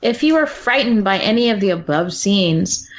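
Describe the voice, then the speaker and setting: A woman's voice: medium pitch, expressive, moderate pace, muffled recording. A woman on a podcast panel, reading aloud and chatting casually. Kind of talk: casual chat and story reading.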